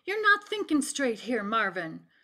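A woman voicing a calm, stern Old West sheriff in a folksy, deliberate way for a storybook. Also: very high-pitched, heavily acted. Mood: persuasive.

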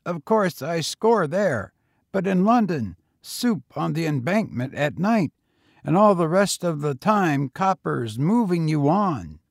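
Man doing a high, husky, frail street lad. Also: big pitch swings, takes it slowly, dry recording, clean studio sound, heavily acted. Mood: matter-of-fact. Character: frail undersized eighteen-year-old homeless boy, knowing, talkative, weary.

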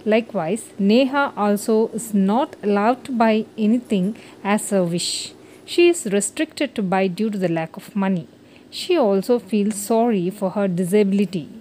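A woman's voice: mid-range voice, normal speed, darkish tone. Woman narrating a children's story, noisy recording.

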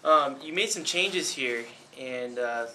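A young man hosting a casual desk interview as a flying instructor, relaxed and encouraging, with lots of ums and uhs. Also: medium pitch, slow pace, noisy background. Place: small indoor studio set at a desk.

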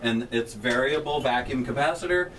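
A man's voice: medium pitch, moderate pace, noisy background. Middle-aged male tech, plain-spoken, explaining machinery on a tour; distant camera mic, humming room. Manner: plain-spoken, technical, gestures at the equipment. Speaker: transmitter technician. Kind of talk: explaining equipment on a guided tour. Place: inside a metal equipment shelter, small group standing close. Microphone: camera held by a visitor a few feet away.

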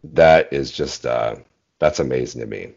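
A man in his forties chatting as an interview guest about singing, thinking aloud in measured phrases on a video call. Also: a bass voice, creaky, a little mellow. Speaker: interview guest, bass singer. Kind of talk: casual interview chat about singing technique. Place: small indoor room at home, video call. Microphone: earphones on a video call. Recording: dull, muffled video-call audio.

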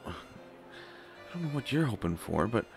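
A man's voice: mid-range voice, big pitch swings, moderate pace, gravelly. A man playing an anxious, stammering young prince who is reluctant to become king, in a roleplay audio drama. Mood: anxious dread. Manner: flustered, hesitant, stammering, sighing. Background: faint music.

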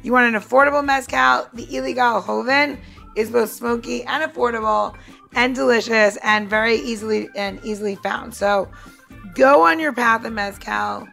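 A woman with a fairly high voice and plenty of vocal fry demonstrates cocktails on a podcast. She is chatty and casual, guiding the listener step by step, with a little music in the background. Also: moderate pace, bright, forceful tone. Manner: chatty, talks the listener through each step. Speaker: podcast co-host and bartender.

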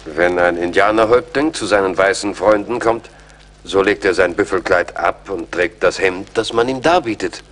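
Brave army major, lowish pitch, formal and earnest, adventure radio play. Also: male voice, normal speed, fairly bright tone. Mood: solemn bluffing. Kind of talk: German radio play acting.

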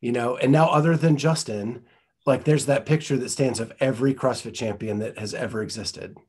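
A male podcast host with a slightly husky voice at a middle pitch. He asks his questions with easy, friendly enthusiasm, speaking into a studio mic in a home studio. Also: moderate pace, a little mellow. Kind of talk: casual interview. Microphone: studio mic in front.

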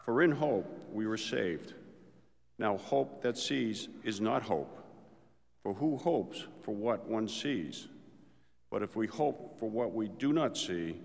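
Gravelly middle-aged man reading scripture. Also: lowish voice, expressive. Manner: measured, deliberate reading. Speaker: lector. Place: large church, indoors. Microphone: lectern microphone. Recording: long church reverb.

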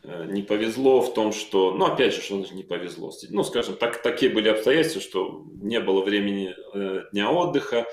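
A middle-aged man on a video call from home, calmly walking through a chess game move by move with many fillers. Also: fairly low pitch, fairly quick, few pauses. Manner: calm, reflective, calling out moves with many fillers. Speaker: chess player recalling his own game. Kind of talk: analytical interview talk. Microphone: video call microphone. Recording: roomy video-call audio.

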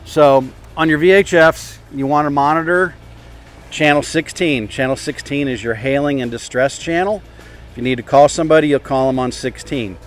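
A man's voice: a little high, moderate pace. An older man, a boat captain, giving casual instructional boating tips outdoors on a lapel mic. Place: outdoors at a marina by a parking lot. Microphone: clip-on lapel mic.

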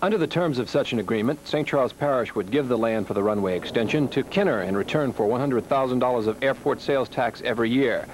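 Male TV reporter over rumbling noise. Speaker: local TV news reporter. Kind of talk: scripted news report narration. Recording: clear rumbling background noise.

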